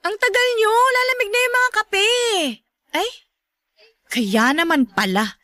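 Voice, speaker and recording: A woman playing a brisk, chirpy young friend in a Filipino radio drama. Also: extremely high pitch, big pitch swings, pushed and bright, no room sound, studio quality, heavily acted. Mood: dismissive.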